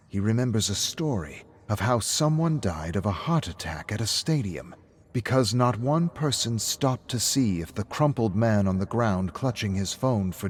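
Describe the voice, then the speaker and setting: A man with a low voice narrates a scripted story. He is recorded with clearly audible ambient noise behind him.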